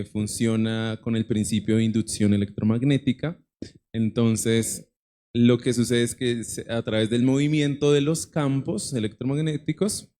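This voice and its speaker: A man's voice: medium pitch, a little mellow. A man from Colombia, an engineer, giving a plain technical explanation to a church congregation.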